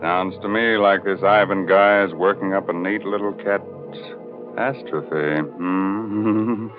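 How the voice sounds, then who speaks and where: Deep-voiced sardonic radio host. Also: male voice, fairly even intonation, slow pace, music in the background. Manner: sardonic, macabre wit. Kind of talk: 1940s radio hosting, scripted. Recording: muffled 1940s radio broadcast.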